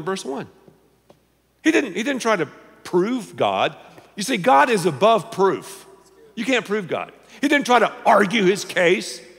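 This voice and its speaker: An older man preaching a sermon on a large church stage, animated and playful, often dropping to a low confiding tone. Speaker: pastor. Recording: long reverb of a big hall.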